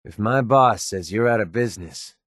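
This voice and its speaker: An American man voicing a cynical, wisecracking young mercenary with a soft side in a video game, speaking in a casual, sardonic way. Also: fairly low pitch, moderate pace, no room sound, studio quality. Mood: sardonic, tough. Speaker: video game companion character.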